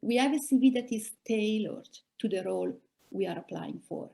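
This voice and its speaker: A woman in her forties from Italy with an Italian accent, giving measured career advice as a coach in a webinar talk. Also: medium pitch, vocal fry, mellow. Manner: measured, advisory, addresses listeners directly. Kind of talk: webinar presentation.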